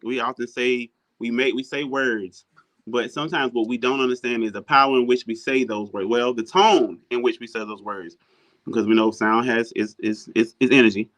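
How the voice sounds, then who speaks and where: Man, podcast host, medium pitch, conversational, affirming interview talk. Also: fairly even intonation, moderate pace, a bit bright, low-quality recording.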